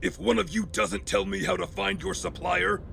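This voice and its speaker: An actor playing a grim, commanding vigilante who threatens criminals with gravelly, deliberate menace in an audio drama. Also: male voice, sits high, steady tone, bright, forceful tone, a little hiss or hum, heavily acted. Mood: cold menace.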